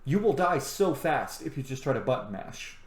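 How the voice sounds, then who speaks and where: A man's voice: medium pitch, sing-song, moderate pace, a little hiss or hum. A man giving casual, informal and opinionated gameplay commentary as a let's play host. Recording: roomy echo, loud game music behind. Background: game music and sound.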